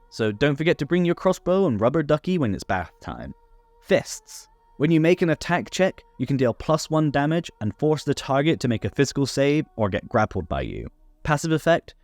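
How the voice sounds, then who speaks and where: A man's voice: mid-range voice, no room sound. A man narrating a scripted tabletop game rules guide, instructional with playful asides. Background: background music.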